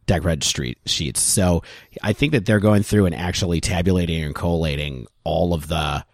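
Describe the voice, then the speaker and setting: A man with a very low voice talks through figures at length on a podcast. He is thoughtful and casual, recorded close with a dry, dead sound. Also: moderate pace, vocal fry, no room sound. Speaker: podcast co-host.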